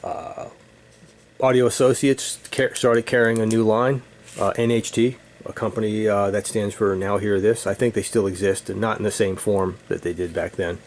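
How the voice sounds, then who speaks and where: A middle-aged man reminiscing casually as an enthusiast, speaking in halting short bursts. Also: on the low side. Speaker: audio hobbyist presenting own video. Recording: steady room noise, air conditioning hum.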